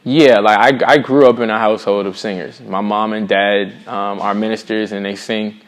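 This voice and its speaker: Casting: American man, middle-aged, lowish voice, earnest, slangy musician chatting as an interview guest. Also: steady tone, moderate pace. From Boston, USA. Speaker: singer-songwriter, interview guest. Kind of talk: casual interview conversation.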